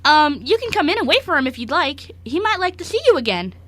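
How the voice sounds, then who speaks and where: A woman's voice: high voice, sing-song, fast talker, a bit bright, no echo. A woman voicing a clumsy, anxious young male dog-boy servant in an amateur radio play, apologetic, polite and easily flustered. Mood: sheepish, polite. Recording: amateur radio show recording.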